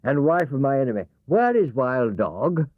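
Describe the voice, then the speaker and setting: A man's voice: medium pitch, sing-song, moderate pace, no echo, pitched above the performer's own voice. Wild horse for a children's tale, acted by a man: solemn, declaiming animal; tinny vintage recording. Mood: indignant, commanding. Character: proud male wild horse, blunt, turning submissive servant. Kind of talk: children's storybook reading. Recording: thin, narrow-band vintage cassette sound.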